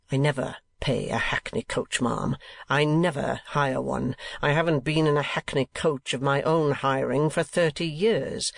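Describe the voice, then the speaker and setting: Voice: medium pitch, vocal fry, no echo. A performer voicing a cold, testy old uncle in a Victorian novel, growling and sneering curtly.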